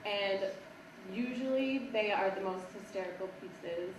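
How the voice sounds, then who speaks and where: A woman teacher giving brisk, lightly teasing praise to students at a school assembly. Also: mid-range voice. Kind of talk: award speech at a school assembly. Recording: echoey hall, distant mic, background noise.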